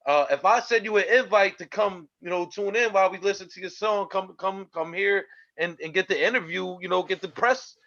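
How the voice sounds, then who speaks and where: A man's voice: high voice, fairly quick, sharp tone, highs cut off. A man hosting a casual show in a laid-back way, lining up songs and hyping the show. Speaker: host.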